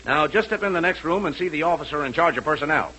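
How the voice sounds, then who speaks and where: An actor playing a gruff, bossy navy examiner with brusque delivery in a 1940s radio comedy. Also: male voice, fairly high pitch, quick pace, natural voice. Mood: businesslike. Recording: noisy old 1940s radio broadcast.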